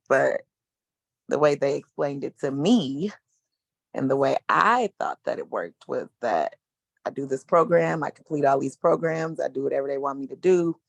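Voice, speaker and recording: A man in his thirties giving a frank, casual personal testimony as a panelist, with slangy speech and hesitant pauses. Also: medium pitch, creaky, fairly bright tone, no echo. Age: 30s.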